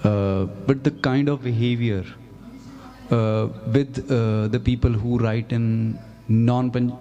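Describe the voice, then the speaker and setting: A man from Punjab, a journalist speaking earnestly on a festival panel, pausing with fillers. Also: medium pitch, warm tone, dull audio. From Punjab, India. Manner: earnest, emphatic, hesitant with fillers. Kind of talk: panel discussion. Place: festival stage.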